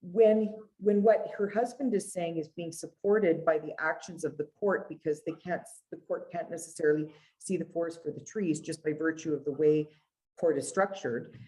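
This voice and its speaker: A woman's voice: sits low, normal speed, warm tone, rough recording. A middle-aged woman with a Canadian accent, speaking as a guest family lawyer in an earnest, conversational storytelling way.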